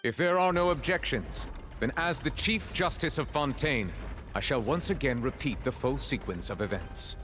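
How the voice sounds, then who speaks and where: A man's voice: mid-range voice, lively intonation, a bit bright, faint music behind, natural voice. A man as a composed, stern chief justice. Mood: calm, measured. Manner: formal, measured, authoritative. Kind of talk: video game voice acting.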